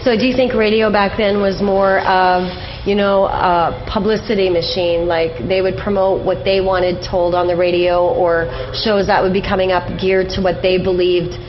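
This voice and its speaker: A woman's voice: fairly low pitch, little pitch movement, moderate pace, hardly any pauses. A woman hosting a casual radio interview, talking conversationally as she questions her guest. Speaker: radio show host, interviewer. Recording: dull lo-fi radio audio, faint music.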